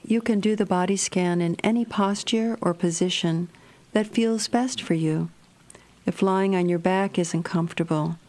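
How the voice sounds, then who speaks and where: Slow, low-voiced woman guiding meditation. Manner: gentle, measured, soothing instruction. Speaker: meditation guide. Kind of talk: guided meditation narration.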